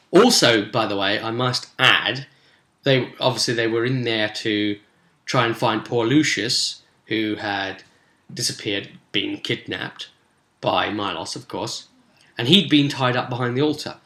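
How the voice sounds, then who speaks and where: A man with a bright, forward voice and a mid-range pitch gives a casual game master's recap. He explains things conversationally and rambles a little. The sound is roomy and echoey. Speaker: game master recapping tabletop role-play sessions. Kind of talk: casual spoken recap and commentary.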